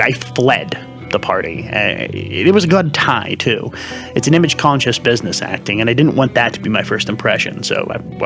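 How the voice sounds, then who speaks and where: A man acts out a hard-drinking, self-pitying showbiz reporter with a low voice. He stammers and rambles through a comedy news segment as if confessing, with clear music behind him.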